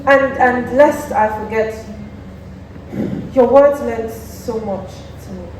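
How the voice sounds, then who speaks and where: A woman's voice: on the high side, slow pace, a little mellow, very echoey. Stage drama: young actress as courteous, long-suffering woman, distant mic with faint noise. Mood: hesitant. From Nigeria. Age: young adult. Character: gentle, long-suffering mother. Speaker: student stage actor. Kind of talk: theatrical stage acting. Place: theatre stage.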